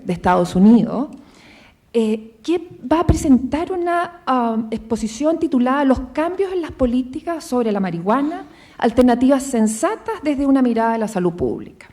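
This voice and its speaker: High-voiced Chilean woman moderator. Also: dark tone. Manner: formal, with occasional fillers. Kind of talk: event announcements and introduction. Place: theater stage.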